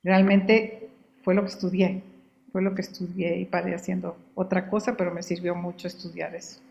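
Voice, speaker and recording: An older woman from Guatemala, a guest in an interview, telling her life story in an unhurried, conversational way and often repeating words as she thinks. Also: on the low side, little pitch movement, creaky, darkish tone, lo-fi sound.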